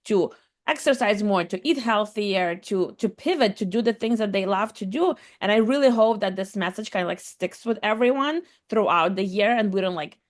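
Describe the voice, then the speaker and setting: A woman's voice: mid-range voice, normal speed. A woman hosting a podcast interview in an upbeat, bubbly way. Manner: upbeat, bubbly, "super excited". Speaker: podcast host.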